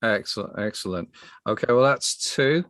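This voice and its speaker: A man hosting a casual panel chat, speaking haltingly and often repeating his words. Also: on the low side, animated, fairly bright tone, no echo. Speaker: podcast host.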